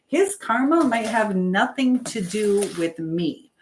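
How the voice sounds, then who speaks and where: A woman's voice: mid-range voice, sing-song, slow. A middle-aged woman hosting a casual livestream, rambling and thinking aloud as she answers viewer comments. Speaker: livestream host. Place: at home while preparing food. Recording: clearly noisy livestream with clicks.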